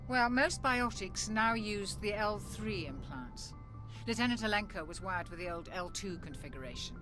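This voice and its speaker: A woman playing a composed, knowledgeable military ship's doctor in a video game, explaining things in a measured, matter-of-fact way. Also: medium pitch, lively intonation, music in the background, close to an everyday voice. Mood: informative, candid.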